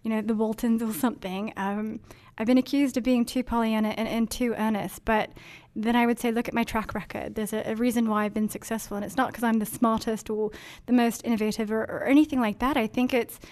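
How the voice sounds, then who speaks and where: A woman's voice: a little high, steady tone, fairly quick, darkish tone. A woman from Denmark answering interview questions about marketing in a chatty, earnest way, with many ums and likes. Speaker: marketing executive.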